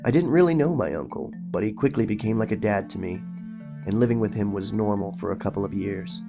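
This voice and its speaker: A man's voice: fairly low pitch, expressive, fairly quick, vocal fry, music in the background. A man reading a horror story aloud in a dramatic, suspenseful storytelling manner. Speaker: horror story narrator and channel host. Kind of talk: dramatic creepypasta reading, then casual recap.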